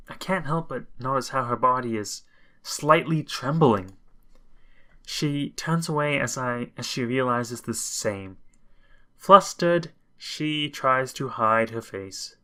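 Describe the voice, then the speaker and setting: A man reading a visual novel aloud with casual commentary, halting and breaking off for wry asides. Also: medium pitch, sharp tone. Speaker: video game commentator.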